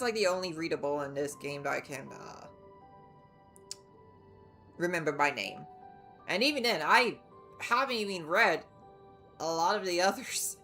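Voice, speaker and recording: A woman giving casual live game commentary as a streamer, thinking aloud in a halting way. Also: on the low side, gravelly, fairly bright tone. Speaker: livestreamer playing a game.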